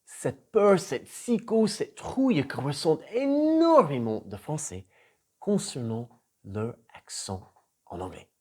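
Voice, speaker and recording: Animated American man, warm lively delivery. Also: on the high side, takes it slowly. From United States (Florida). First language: English. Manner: animated and emphatic, swings between loud punches and soft drops. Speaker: English trainer and author. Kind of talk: motivational video lesson.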